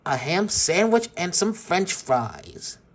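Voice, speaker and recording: A man voicing a cruel boy who gloats slowly and sneers at his victims. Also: high-pitched, big pitch swings, pitched above the performer's own voice, heavily acted. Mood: gloating. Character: cruel mocking boy who torments toys.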